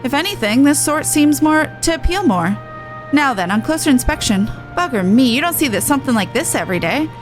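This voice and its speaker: A woman's voice: sits high, big pitch swings, fairly quick. A woman game streamer reading dialogue aloud with chatty reactions, stumbling and correcting herself as she goes. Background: video game music.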